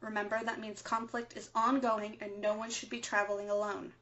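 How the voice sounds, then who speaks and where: A woman playing a serious resistance broadcaster, giving measured, instructive announcements in a scripted underground radio drama. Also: medium pitch, flat delivery, a bit bright, slight background noise, natural voice. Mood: grave, instructive. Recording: dull, lo-fi broadcast sound.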